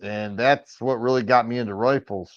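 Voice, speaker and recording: A middle-aged man from Michigan with a raspy voice, hosting a casual firearms livestream and chatting with viewers, rambling and restarting his sentences often. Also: medium pitch, steady tone, normal speed. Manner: rambling, with frequent stammered restarts. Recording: dull lo-fi stream audio.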